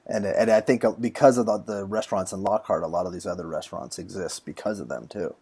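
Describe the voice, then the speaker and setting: Husky, dark-voiced male podcast host. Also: deep voice, fast, no room sound. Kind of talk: casual interview chat.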